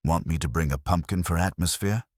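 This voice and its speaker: A man's voice: low-pitched, brisk, a little mellow, no room sound, clean studio sound. A man voicing a streetwise detective's assistant in an audiobook, wry, clipped and flippant. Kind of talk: audiobook character acting.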